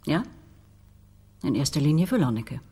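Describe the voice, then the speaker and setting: A woman's voice: deep voice, very animated, normal speed, mellow, husky, exaggerated voice. A middle-aged woman playing a reserved politician's wife in a radio crime drama, cool, formal and controlled.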